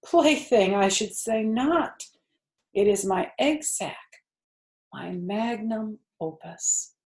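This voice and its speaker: A woman voicing an aging female spider for a children's storybook, weak and quiet, dignified and resigned. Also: mid-range voice, lively intonation, slow pace. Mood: proud, patient.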